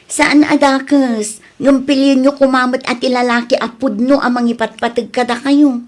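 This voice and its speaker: An actress with an Ilocano Filipino accent playing a concerned, scolding adult daughter in an audio drama, earnest and admonishing. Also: female voice, sits high, little pitch movement, moderate pace, close to an everyday voice.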